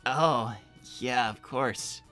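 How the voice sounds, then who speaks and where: A man's voice: mid-range voice, very slow, pushed and bright, soft background music. A man playing a naive, earnest teenage boy, stammering and hesitant, in an improvised in-character livestream. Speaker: co-host answering viewer messages.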